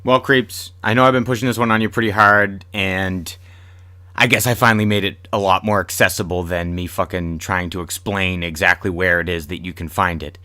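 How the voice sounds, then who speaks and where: A man's voice: sits low, steady tone, brisk, pushed and bright, clear, resonant tone, no echo, clean studio sound. A man hosting a horror podcast, chatting casually to listeners in a rambling, repetitive, sweary way. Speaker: horror podcast host and writer. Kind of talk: casual solo podcast chat.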